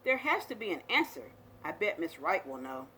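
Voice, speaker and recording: Lady doing a kids story read aloud, super expressive, bright voice, bit of background noise. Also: fairly low pitch, moderate pace. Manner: animated, dramatic storytelling delivery. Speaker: storyteller reading aloud to young children. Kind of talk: expressive children's picture book read-aloud.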